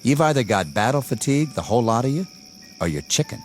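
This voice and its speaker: An actor playing a zealous young army lieutenant in a radio drama, commanding and scornful in a clipped way. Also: male voice, medium pitch, sing-song, fast, a little mellow, close to an everyday voice. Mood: scornful, needling. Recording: radio drama with music underneath.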